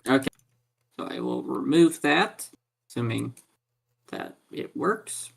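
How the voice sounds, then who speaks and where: A man's voice: mid-range voice, animated, slow pace, creaky, slightly rough. A man chatting casually as a player in a tabletop roleplaying game.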